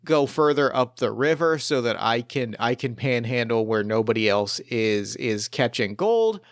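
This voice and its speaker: A man improvising narration as game master of a tabletop roleplaying game, speaking haltingly and repeating phrases as he builds his descriptions. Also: medium pitch, animated, no echo, studio quality.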